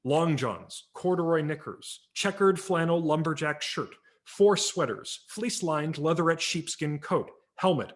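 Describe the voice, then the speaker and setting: A man narrating a humorous memoir story as a dramatic reading, wry and theatrical, letting his voice drop low at the ends of phrases. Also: animated, measured pace, few pauses. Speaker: audiobook narrator. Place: home, virtual reading.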